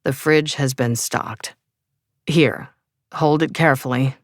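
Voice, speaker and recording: A woman voicing a weary old male vampire who speaks tersely and grumbles, in a low voice. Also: steady tone, slow, no echo, clean studio sound. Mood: gruff.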